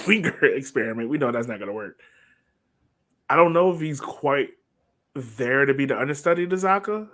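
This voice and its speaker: Casual male soccer podcast co-host. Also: mid-range voice. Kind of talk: casual sports analysis chat.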